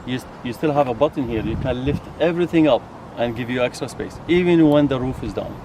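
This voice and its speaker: A man's voice: mid-range voice, fast talker. A man from Iraq, a native Arabic speaker with an Iraqi accent, chatting eagerly about his car outdoors in slightly broken English. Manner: enthusiastic, broken phrasing. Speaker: car owner. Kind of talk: casual car chat. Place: outdoors beside a parked car. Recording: outdoor street noise.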